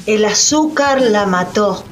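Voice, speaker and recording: A woman's voice: mid-range voice, expressive, slow, soft background music. A woman narrating a radio play in a scripted documentary style. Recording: noisy, with audible background ambience.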